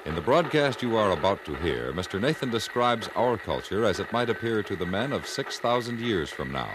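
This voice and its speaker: A man giving a formal, measured, scripted introduction as a 1950s radio announcer. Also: on the low side, sing-song. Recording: noisy 1950s radio broadcast.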